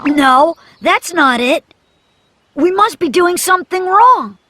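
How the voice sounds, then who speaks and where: A woman voicing an eager, confident young boy in an animated storybook, bossy and full of plans. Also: very high voice, slow, no room sound, heavily acted.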